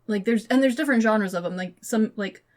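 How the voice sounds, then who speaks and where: A woman's voice: on the high side, fairly quick. A woman podcast co-host chatting casually and giving her opinions in an informal, loosely phrased way. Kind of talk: casual chat about games and movies.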